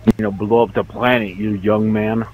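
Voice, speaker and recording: A young man playing along with friends, excitable and quick to swear when surprised. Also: lowish voice, rough recording. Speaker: fellow player. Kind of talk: casual gaming chat.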